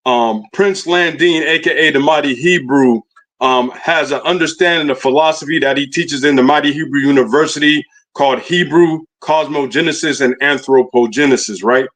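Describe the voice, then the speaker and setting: A man's voice: fairly high pitch, normal speed, fairly bright tone. A man with speech hosting a livestream, asking rambling questions with many fillers. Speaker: livestream host. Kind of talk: casual interview questions.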